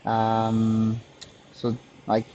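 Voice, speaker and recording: A man's voice: on the low side, monotone, drawn-out words, warm tone, muffled recording, a little hiss or hum. A man with a Quebec French accent, a native French speaker, chatting through a live hands-on repair tutorial as the host and technician, often searching for his words. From Canada, French-speaking province.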